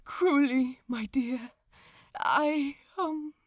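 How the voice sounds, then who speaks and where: A woman's voice: sits high, very slow, dry recording, clean studio sound, voice pushed up. A woman voicing an anxious, formal British male angel in an audiobook, earnest and lovestruck, speaking precisely and hesitantly. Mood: nervous, formal. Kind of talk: audiobook character dialogue.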